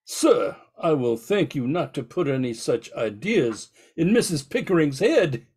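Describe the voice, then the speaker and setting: An older man playing a gruff, pompous, wealthy industrialist in an amateur radio mystery. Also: a little high, big pitch swings, unhurried, darkish tone, exaggerated voice. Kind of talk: amateur old-time radio mystery acting.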